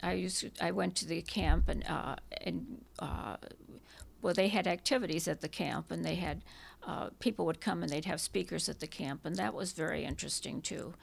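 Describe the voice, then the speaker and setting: An older woman, Czech by first language, talks through her memories in an interview. Her voice is deep-set and heavily gravelly with constant vocal fry. She wanders and restarts as she recalls things. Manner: halting, reminiscing, many restarts. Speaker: interviewee, hiking club member. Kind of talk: oral history interview.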